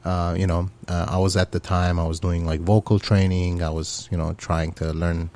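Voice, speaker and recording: A man with a very low voice talks through an interview. He is a middle-aged musician from Syria, earnest and loose, with many ums and you-knows. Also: flat delivery, moderate pace, a little mellow. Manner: earnest, speaks with many fillers. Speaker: musician guest. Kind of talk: casual interview chat.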